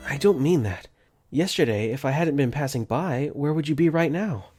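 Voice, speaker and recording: A young man voicing an earnest, caring dorm caretaker for a fan dub, gently narrating his own thoughts in first person. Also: fairly high pitch, animated, moderate pace, natural voice. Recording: faint music under the voice.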